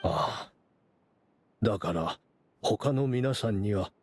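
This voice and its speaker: An actor playing an aging, weary, grave man with a husky, worn voice in a Japanese game. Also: fairly low pitch, animated, slow pace, a little hiss or hum. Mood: grave, deliberate. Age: older.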